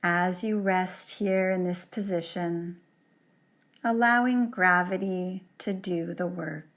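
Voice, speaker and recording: Slow, soothing woman guiding meditation. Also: on the low side, steady tone. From Canada. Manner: gentle, soothing, unhurried with long pauses. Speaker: yoga nidra teacher. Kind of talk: guided relaxation meditation.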